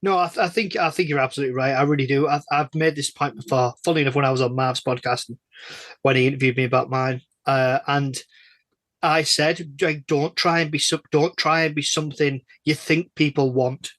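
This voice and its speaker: A man's voice: a little high, fairly even intonation, a little fast, fairly bright tone, no room sound. A man hosting a casual interview, chatty and emphatic, often stammering over and repeating his phrases. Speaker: podcast interviewer.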